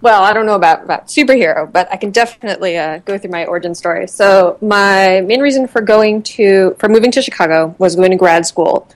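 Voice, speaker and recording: A woman's voice: mid-range voice, brisk, few pauses, dry recording. A woman in her thirties from Connecticut, a guest chatting casually in an interview, with lots of fillers and restarts. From Connecticut, USA.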